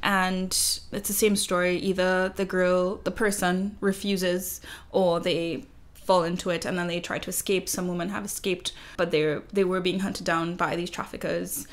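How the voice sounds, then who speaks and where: A woman from Trinidad, a journalist in her thirties, explaining her research in an interview in earnest, measured sentences. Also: lowish voice, level tone. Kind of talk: interview guest, conversational.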